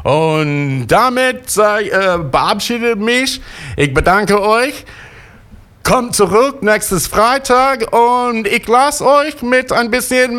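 A radio host with an English accent chats in slow, halting German, hesitating often but clearly enthusiastic. His voice sits high for a man, and he takes his time with each phrase. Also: fairly bright tone. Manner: rambling, enthusiastic, non-native German grammar with many hesitations. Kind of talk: casual radio show sign-off.

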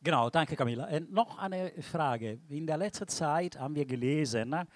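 Halting man. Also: a little high, no echo. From Palermo, Sicily. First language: Sicilian. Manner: halting, searches for German words.

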